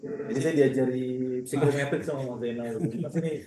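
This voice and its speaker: A man's voice: medium pitch, normal speed, mellow. A man, a university lecturer, giving informal closing remarks to students in a conversational way. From Indonesia. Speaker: university lecturer moderating a guest talk. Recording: echoey room with clear background noise.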